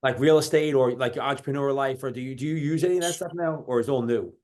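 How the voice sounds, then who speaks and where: A man in his forties from New York hosts a podcast interview. He talks fast and loosely, stringing his questions together in long run-ons. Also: medium pitch, a little mellow. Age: 40s. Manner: quick, chatty, rambling questions. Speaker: podcast host. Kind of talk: casual interview.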